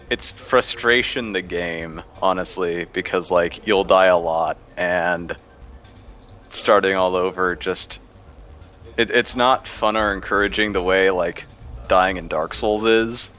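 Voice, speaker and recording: A deep-voiced man talks through his gaming history in a loose, meandering way, leaning on "like" as he goes. Faint music plays underneath him. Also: slow pace, bright, forceful tone. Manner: rambling, filler-heavy with frequent "like". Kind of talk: casual gaming chat.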